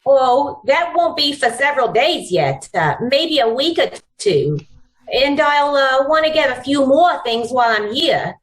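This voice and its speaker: Lady acting a sweet-talking gangster's girl, old radio play, high voice, slow and cooing. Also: animated, rough recording. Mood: coaxing, sweet.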